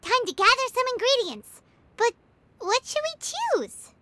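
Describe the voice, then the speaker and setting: An actress voicing a tiny, chirpy fairy companion in a video game, bubbly and teasing, with a very high, childlike voice, talking about herself in the third person. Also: female voice, very animated, a little slow, bright, forceful tone, dry recording, heavily acted. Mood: eager. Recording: game audio with music underneath.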